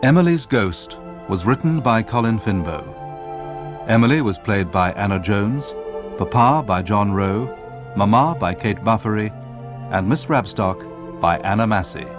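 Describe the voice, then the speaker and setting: A British man makes a scripted radio announcement. His voice is on the low side, with music clearly playing behind him. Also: lively intonation, a little slow.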